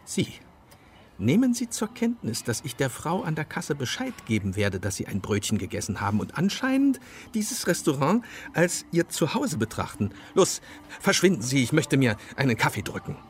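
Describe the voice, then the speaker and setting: A man's voice: on the high side, sing-song, moderate pace, slight background noise. A man acting in a radio play as a fussy, indignant customer with an officious, condescending manner. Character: fussy, indignant, snobbish male department-store customer. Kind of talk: German radio play dialogue.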